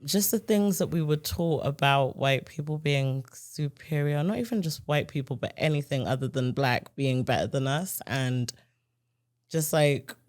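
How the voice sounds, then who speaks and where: A woman's voice: sits low, unhurried, darkish tone, no echo, studio quality. Frank British woman in a podcast chat. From United Kingdom. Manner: frank and conversational, many fillers like "like". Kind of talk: casual podcast discussion.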